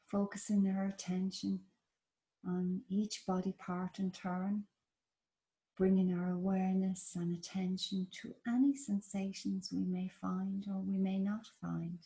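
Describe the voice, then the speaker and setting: A woman with an Irish accent leading a guided body scan meditation in a slow, soft, soothing manner. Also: medium pitch, little pitch movement, gravelly. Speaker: meditation teacher.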